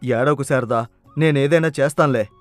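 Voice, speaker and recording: A man's voice: mid-range voice, moderate pace, dry recording, soft background music, natural voice. A man playing a greedy, arrogant elder brother in a village moral story, with a brash, insistent manner. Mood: placating.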